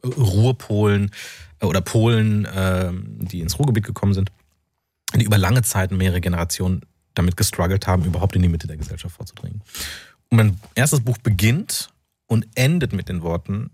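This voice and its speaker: A man's voice: low-pitched, brisk, darkish tone, slightly rough, no echo, studio quality. A man from North Rhine-Westphalia, an author speaking reflectively as a radio interview guest, often searching for words. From North Rhine-Westphalia, Germany. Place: radio studio.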